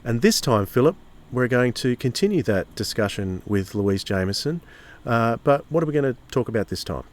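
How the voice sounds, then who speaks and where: A man's voice: mid-range voice, lively intonation, moderate pace. A man with an Australian accent presenting a podcast in a conversational introductory style. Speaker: podcast host.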